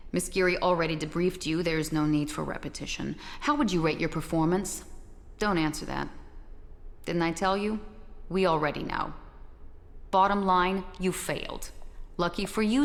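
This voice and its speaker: An actress playing a cold, condescending secret-society interrogator in a video game, with clipped, deliberate delivery, heard with long reverb. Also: female voice, lowish voice. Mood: cold, dismissive. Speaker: interrogator and evaluator for a secret organisation. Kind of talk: scripted video game dialogue. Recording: long reverberant hall echo.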